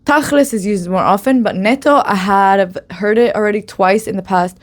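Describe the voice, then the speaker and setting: A woman's voice: mid-range voice, moderate pace. Brief: upbeat woman host, casual lesson, easy mid-range voice, chatty with frequent laughs. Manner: drops in Hebrew phrases. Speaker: Hebrew slang teacher.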